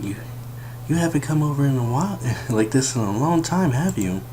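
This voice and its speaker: A man voicing a sad teenage girl who forces cheerfulness, softly reading visual novel game dialogue. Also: mid-range voice, expressive, moderate pace, pitched above the performer's own voice, natural voice. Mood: wistful. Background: sad game music.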